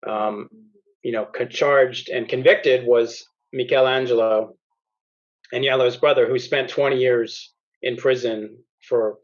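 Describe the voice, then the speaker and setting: A man, an author, explaining his genealogy research and walking through slides in a relaxed conversational way, with frequent fillers and restarts. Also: mid-range voice, dull audio. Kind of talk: explaining research over slides in a podcast chat.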